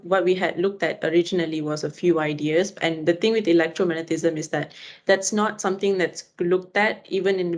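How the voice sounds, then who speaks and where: A woman's voice: fairly low pitch, a little mellow. A young woman from Malaysia, a student guest chatting casually on a podcast with fillers. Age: young adult. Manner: chatty, fillers like "you know".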